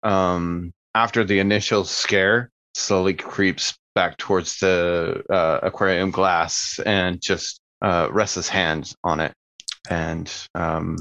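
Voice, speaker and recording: A man plays a tabletop roleplaying game and improvises what his character does. His low voice moves slowly and thoughtfully, with hesitant gaps. It is recorded dry and close. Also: fairly even intonation, a bit bright, no room sound, highs cut off. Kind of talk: casual improvised game narration.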